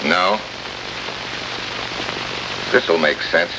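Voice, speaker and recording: An actor playing a tough, wisecracking American special agent in an old radio drama, sardonic and slangy. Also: male voice, deep voice, drawn-out words. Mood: needling, hard. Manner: sardonic, hard-boiled, slangy. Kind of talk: 1950s radio drama acting. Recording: noisy old radio recording, heavy hiss.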